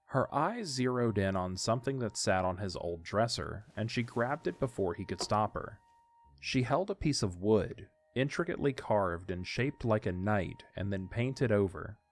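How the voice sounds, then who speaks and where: A man's voice: on the low side, animated, moderate pace. A man reading scripted story narration as the narrator of an audiodrama.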